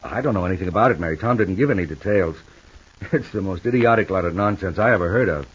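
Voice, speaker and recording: An actor playing a protective, stubbornly loving husband in a 1950s radio soap, affectionate, offhand and firm. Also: male voice, low-pitched, quick pace, husky. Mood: evasive, offhand. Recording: dull, band-limited old radio recording.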